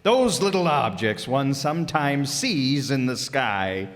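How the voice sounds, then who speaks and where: A man's voice: medium pitch, big pitch swings, takes it slowly. A man playing a pompous, curt, fussy middle-aged businessman in a radio play. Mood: pompous, irritated. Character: pompous, brusque, greedy middle-aged businessman counting stars. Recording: echoey hall reverb.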